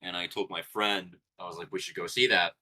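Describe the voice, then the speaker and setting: A man's voice: low-pitched, a little fast, rough recording. A man from Colombia with a light Colombian accent, chatting casually and rambling on a late-night stream while tired and chewing gum. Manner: relaxed, rambling, self-interrupting. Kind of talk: casual chat.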